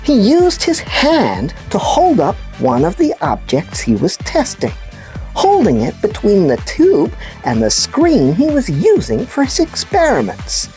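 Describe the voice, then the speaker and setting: Very animated, unhurried woman playing a puppet news presenter. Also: medium pitch, soft background music. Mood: intrigued storytelling. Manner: animated, storytelling, emphatic. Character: enthusiastic puppet news presenter explaining science to children. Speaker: kids' show host. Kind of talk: scripted children's educational presenting.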